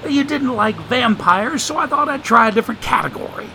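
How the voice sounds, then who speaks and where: A sarcastic, drawling homeless man with rough grammar, pitched well up and lively, as a male narrator puts him on in a storybook reading. His voice rings bright and forceful. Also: brisk, a little hiss or hum, full character voice, poor audio. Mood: teasing. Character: folksy homeless older man, wisecracking.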